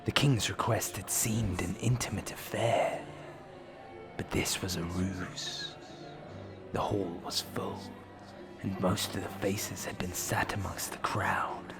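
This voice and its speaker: A man narrating a scripted fantasy audio drama in a measured storytelling manner. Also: deep voice, fairly even intonation, gravelly, music in the background.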